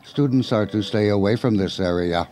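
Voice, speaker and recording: A man's voice: on the low side, normal speed, warm tone, a little hiss or hum, close to an everyday voice. A man playing an eerie, menacing stranger in a radio drama, speaking in a stilted, repetitive, oddly formal way. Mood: flatly insistent. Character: eerie stiff stranger man, menacing, robotically repeating warnings.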